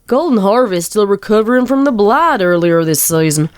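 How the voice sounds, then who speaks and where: A woman's voice: medium pitch, expressive, normal speed, darkish tone, no echo, studio quality, lightly acted. A woman voicing a proud, stubborn young farm mare with guarded, folksy speech in a dramatic reading. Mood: disapproving. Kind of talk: dramatic fan fiction reading.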